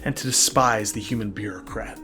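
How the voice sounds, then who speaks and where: A man's voice: fairly low pitch, brisk, sharp tone. Male lore narrator, conversational and emphatic with dry asides, music underneath. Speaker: host and narrator of a fan lore video. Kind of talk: semi-scripted lore narration with casual asides. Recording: music behind the voice. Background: background music.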